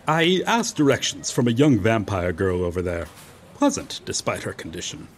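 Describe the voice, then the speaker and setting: A man playing a courteous, befuddled medieval knight in an audio roleplay, speaking earnestly to the listener in formal, theatrical, archaic phrasing with hesitant pauses. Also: fairly low pitch, big pitch swings, a little hiss or hum. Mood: courteous, proud. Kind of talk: ASMR roleplay audio drama, addressing the listener.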